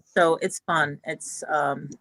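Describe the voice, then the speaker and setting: A woman's voice: sits low, steady tone, slow pace, a bit bright, no echo. An older woman, an amateur playwright, chatting casually on a video call. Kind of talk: casual chat.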